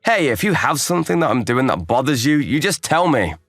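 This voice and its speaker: Man playing animated, easygoing young programmer. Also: medium pitch, fairly quick, no echo, faint music behind. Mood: reassuring. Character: calm, kindly young male programmer, step-brother and mentor. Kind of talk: anime-style manga dub with inner narration.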